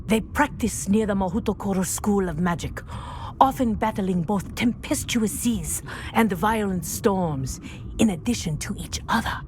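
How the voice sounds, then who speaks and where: An actress playing a dignified, principled female professor in a game, speaking in a measured, precise, formal way. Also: mid-range voice, darkish tone, slight background noise, natural voice. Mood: proud, wistful. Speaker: professor and flying teacher. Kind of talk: scripted game dialogue, storytelling.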